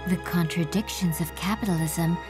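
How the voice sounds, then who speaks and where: A woman narrating a documentary from a script, slow and measured in a solemn tone. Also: lowish voice. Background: new-age music.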